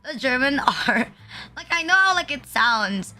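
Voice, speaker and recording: A woman's voice: sits high, big pitch swings, unhurried, bright, forceful tone, soft background music. A young woman from the Philippines chatting casually on a livestream, rambling with constant fillers and frequent swearing. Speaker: VTuber streamer.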